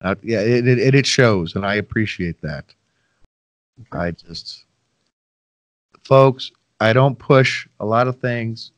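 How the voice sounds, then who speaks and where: A man's voice: on the low side, animated, slow pace, long pauses, no room sound. Middle-aged male podcast host, loose and chatty, self-deprecating, dry close headset sound. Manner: rambling, self-deprecating, folksy asides to listeners. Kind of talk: casual interview chat. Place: home room, video call. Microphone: headset with boom mic.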